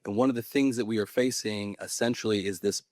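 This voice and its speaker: A man in his thirties from California addresses a panel audience with earnest conviction. His voice sits low, and the sound is dry and close, with little room around it. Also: fairly even intonation, fast talker, a little mellow, no room sound. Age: 30s. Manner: earnest, passionate advocacy. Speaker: mayor on a panel. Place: conference panel.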